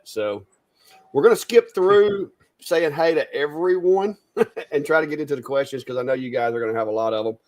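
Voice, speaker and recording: Chatty, easygoing man hosting. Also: a little high. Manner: chatty, easygoing, stumbling restarts. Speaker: host. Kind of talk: casual live-stream chat.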